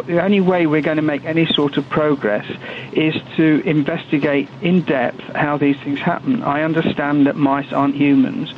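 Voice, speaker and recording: An older man with a croaky voice calling in to a radio show, earnest and a bit hesitant. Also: on the high side, a little hiss or hum. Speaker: caller. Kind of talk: radio phone-in. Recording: tinny phone line.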